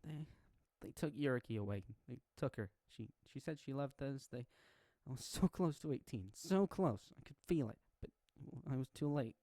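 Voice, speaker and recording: Blunt teen girl, halting and near tears, a man reading. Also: medium pitch, animated, a little mellow, dry recording, pitched above the performer's own voice, close to an everyday voice. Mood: distraught. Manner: halting, stammering.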